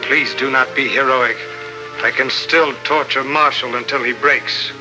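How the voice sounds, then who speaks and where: An actor playing a coldly courteous, menacing villain chieftain in a 1950s radio adventure, speaking in formal, measured sentences. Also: male voice, mid-range voice, steady tone, close to an everyday voice, rough recording. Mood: polite menace. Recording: old 1950s radio recording, loud music.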